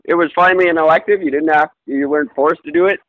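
A man's voice: on the high side, fast, no echo, narrow, tinny audio. A man in casual podcast chat, talking in a rambling, self-deprecating way with many fillers and restarts. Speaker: podcast co-host.

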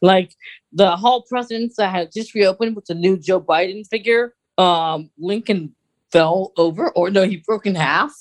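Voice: mid-range voice, moderate pace. An American podcast co-host chatting casually in an informal, rambling, conversational way.

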